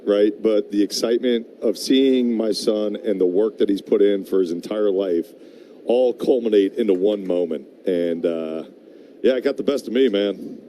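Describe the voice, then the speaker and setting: A middle-aged man works as a color analyst on a baseball broadcast. His voice is fairly low and mellow, and he talks in a loose, conversational way. Faint ambient noise sits behind him. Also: normal speed. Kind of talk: casual conversational commentary.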